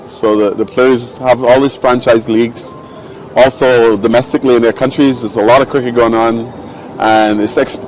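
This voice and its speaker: A middle-aged man from Barbados, a former cricketer talking in a measured, thoughtful way. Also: mid-range voice, slight background noise, poor audio. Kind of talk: casual interview chat.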